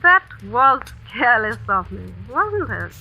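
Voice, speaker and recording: An actress playing a cold, scheming schoolmistress in a vintage radio suspense drama, deliberate and sardonic in manner. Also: female voice, fairly high pitch, big pitch swings, creaky, highs cut off, full character voice. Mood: icily calm. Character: cold, commanding schoolmistress, accusing and scheming, secretly a murderess. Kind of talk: 1940s radio suspense acting. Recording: noisy old radio drama recording.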